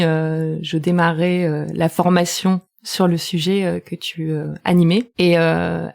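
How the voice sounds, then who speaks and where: A woman's voice: on the low side, gravelly, darkish tone. A young woman hosting an interview podcast, who talks hesitantly with many fillers and restarts. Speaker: podcast host and coach.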